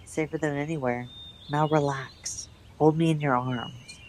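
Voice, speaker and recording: A woman playing a bold, secretive young rebel lover in a radio play, brisk and teasing. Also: low-pitched, fairly even intonation, a little mellow, a little hiss or hum. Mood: teasing, intimate.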